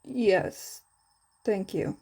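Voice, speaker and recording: A woman voicing a reserved, polite and slightly odd teenage boy in a story reading, quiet and earnest. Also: medium pitch, extremely slow, slightly rough, heavily acted.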